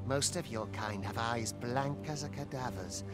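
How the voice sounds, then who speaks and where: An actor playing a sneering, cruel overseer in a fantasy game, with taunting, theatrical, archaic speech. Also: male voice, medium pitch, measured pace, over music, natural voice. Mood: menacing, mocking. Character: sneering, cruel male overseer of pawn laborers.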